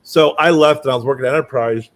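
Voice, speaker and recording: A man hosting a casual chat and telling lively anecdotes with many restarts. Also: mid-range voice, quick pace.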